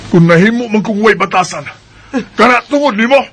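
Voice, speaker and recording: A man's voice: high-pitched, expressive, fairly quick, vocal fry, heavy background noise. A man voicing a resentful young man who defies his parents in a radio drama. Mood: bitter defiant. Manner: bitter, sarcastic.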